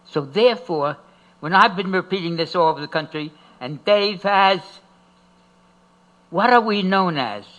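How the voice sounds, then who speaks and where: An older man giving an impassioned rally speech as an activist, emphatic, with drawn-out, rising repeated phrases. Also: high-pitched, expressive, bright, forceful tone, muffled recording. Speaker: activist speaker at a tribute.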